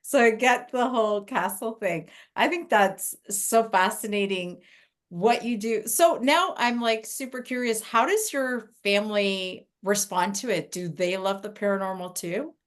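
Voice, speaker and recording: A woman's voice: mid-range voice, measured pace. A woman from Portugal hosting a casual interview, enthusiastic and curious. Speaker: host.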